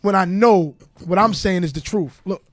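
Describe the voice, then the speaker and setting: Stuttering Southern US man, high voice, dry studio podcast sound. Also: normal speed, no room sound. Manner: stutters, repeats phrases like "bro" and "real shit". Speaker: guest rapper. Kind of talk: candid interview talk.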